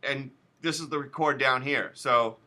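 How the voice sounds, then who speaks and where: A man's voice: mid-range voice, moderate pace, bright, forceful tone. A male teacher walking students through a recording program step by step, chatty and casual with loose asides. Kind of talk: casual classroom software tutorial.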